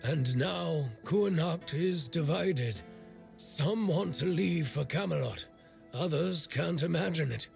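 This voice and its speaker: An actor playing a gruff, irreverent old smith in a video game, with a gravelly voice and a blunt, sardonic way of talking. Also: male voice, fairly high pitch, slow pace, a little mellow, soft background music, full character voice. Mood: disdainful grumbling. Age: older. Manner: blunt, foul-mouthed, sardonic. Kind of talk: video game character dialogue.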